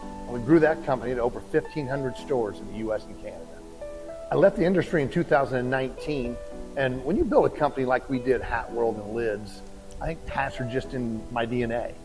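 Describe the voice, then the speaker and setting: A man's voice: medium pitch, a little fast, low-quality recording. A man who founded a business, telling its story in a plain, matter-of-fact way in an interview clip. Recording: clip with loud music under it. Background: music.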